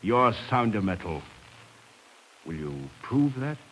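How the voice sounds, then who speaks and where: An actor playing a bluff, devoted English cavalry officer with a clipped manner in a 1950s radio drama. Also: male voice, lowish voice, sing-song, takes it slowly, fairly bright tone, full character voice, low-quality recording. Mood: bluff, resolute. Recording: hissy 1950s radio recording.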